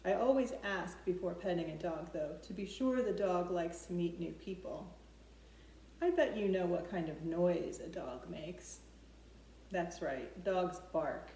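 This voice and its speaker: A woman in her forties leads a storytime for small children. Her tone is mellow and her pace is slow, with simple explanations and questions. It sounds like a home recording at a short distance, with room echo and some clear background noise. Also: on the low side. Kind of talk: speaking to preschoolers, instructional. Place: living room with open kitchen at home.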